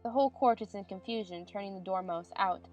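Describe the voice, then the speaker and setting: A woman's voice: mid-range voice, a little fast, a little mellow, highs cut off, faint music behind. A woman reading scripted stage narration for a dramatized audiobook.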